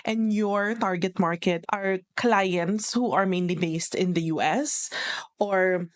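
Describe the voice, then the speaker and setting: A woman's voice: mid-range voice, slow pace. A young adult woman with a Filipino accent, speaking earnestly as she explains tips, often repeating her phrases. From Philippines. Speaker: virtual assistant operations manager. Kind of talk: instructional vlog talk.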